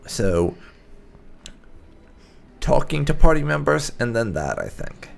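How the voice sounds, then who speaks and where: A man's voice: medium pitch, very animated, slow, creaky. A man hosting a gaming channel, giving casual let's play commentary.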